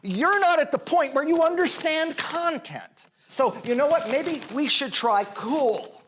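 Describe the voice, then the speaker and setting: A man's voice: very high-pitched, lively intonation, a little slow, fairly bright tone, a little hiss or hum, exaggerated voice. A man playing a cocky, belittling acting teacher in a naturalistic comedy, talking in a brash, sarcastic, rambling way. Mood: self-important.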